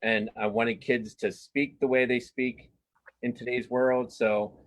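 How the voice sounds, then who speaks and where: A man's voice: medium pitch, level tone, a little slow, poor audio. A man speaking as a cartoonist guest in a casual interview, informal and rambling, often interrupting himself. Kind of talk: casual interview chat about own work.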